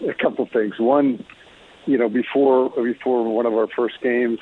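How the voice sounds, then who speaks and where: A middle-aged man with a Canadian accent and a husky voice, reminiscing casually as an interview guest and former hockey player. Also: medium pitch, fairly even intonation, unhurried, slight background noise. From Alberta, Canada. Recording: dull phone-like lo-fi sound.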